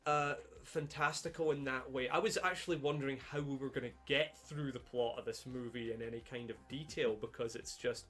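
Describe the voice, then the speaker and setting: A man co-hosting a film podcast in casual chat, halting and rambling, with casual swearing. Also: mid-range voice, steady tone, sharp tone. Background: background music.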